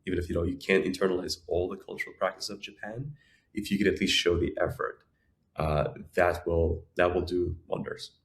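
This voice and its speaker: A man with a very low voice who grew up in Ukraine and Israel with Russian and Ukrainian as first languages. He talks as an interview guest, explaining his points in a measured, conversational way and often repeating words for emphasis. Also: fairly even intonation, vocal fry. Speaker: podcast guest, entrepreneur.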